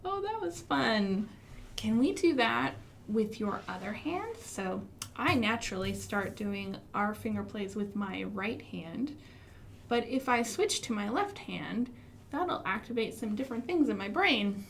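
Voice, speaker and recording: An American woman hosting an interactive storytime for young children, asking questions and speaking in an expressive, encouraging way. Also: fairly high pitch, unhurried, creaky, slight background noise. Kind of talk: interactive children's storytime with songs and finger rhymes. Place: live online stream for a library.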